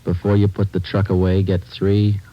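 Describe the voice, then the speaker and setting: Terse, deadpan police sergeant male actor, low register, businesslike vintage radio crime-drama delivery, muffled old broadcast sound. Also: moderate pace, natural voice. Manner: clipped, deadpan, matter-of-fact. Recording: dull vintage radio recording, music behind.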